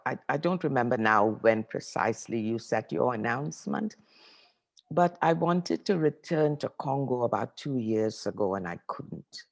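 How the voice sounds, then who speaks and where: An older woman from Trinidad speaks with a notably deep, gravelly voice, full of vocal fry. She tells her story in an interview the way a professor would, unhurried and reflective, often repeating a phrase to let it land. Her delivery is conversational and thoughtful.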